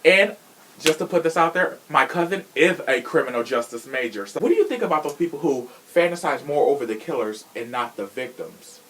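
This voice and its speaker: A man hosts a chatty, informal podcast with plenty of sass. His pitch moves around freely and animatedly, and his delivery is theatrical and conversational. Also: expressive, a little fast, fairly bright tone, a little hiss or hum. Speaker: podcast host. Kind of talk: casual chatty podcast talk.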